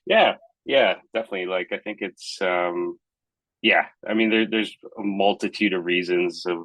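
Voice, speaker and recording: Deep-voiced, bright-toned man from Hong Kong. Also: moderate pace, no room sound, low-quality recording. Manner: rambling, hesitant, thoughtful. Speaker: podcast co-host. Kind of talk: casual chat.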